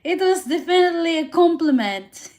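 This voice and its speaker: A woman with a Filipino accent, whose first languages are Visayan and Tagalog, chatting casually on a live stream and answering viewer comments in halting English with frequent restarts. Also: very high voice, lively intonation, a little mellow. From Philippines. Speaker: livestream host.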